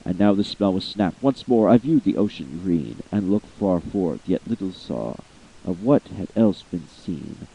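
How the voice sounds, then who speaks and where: A man giving a slow, theatrical reading of a long narrative poem, swelling with feeling at the high points. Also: expressive, vocal fry, mellow, a little hiss or hum, poor audio. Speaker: amateur voice actor reading poetry. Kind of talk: dramatic poetry reading.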